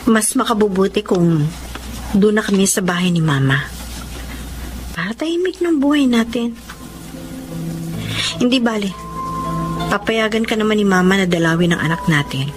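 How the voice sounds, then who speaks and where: A woman's voice: mid-range voice, lively intonation, moderate pace, long pauses, natural voice. A woman with a Filipino accent playing a devoted, caring young mother in a radio drama. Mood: sad, resigned. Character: devoted young mother, resigned yet caring estranged wife. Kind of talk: Tagalog radio drama acting. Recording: music behind the voice.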